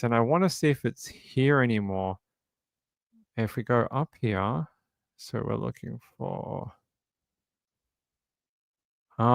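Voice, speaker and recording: A man's voice: medium pitch, creaky, no echo, studio quality. An Australian man around forty, from Sydney, chatting casually on a livestream and rambling through childhood memories with many restarts. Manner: rambling, self-correcting storyteller. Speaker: true crime streamer.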